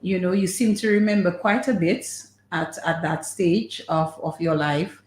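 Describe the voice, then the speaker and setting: A woman's voice: on the low side, a little slow. A woman hosting a conversational radio interview, encouraging her guest and often repeating words back. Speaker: radio host. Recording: roomy, echoing sound.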